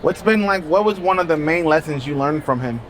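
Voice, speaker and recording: A man interviewing an artist at an outdoor art fair, asking brisk, friendly questions. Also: fairly high pitch, expressive, a bit bright. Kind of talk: casual interview.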